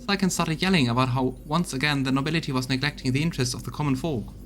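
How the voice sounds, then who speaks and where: A man voicing a rough-tongued, loyal crusade soldier in a fantasy game, talking in a blunt, folksy, gossipy way. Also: medium pitch, quick pace, fairly bright tone, soft background music, lightly acted. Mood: gossipy, exasperated. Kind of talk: voiced fantasy role-playing game dialogue.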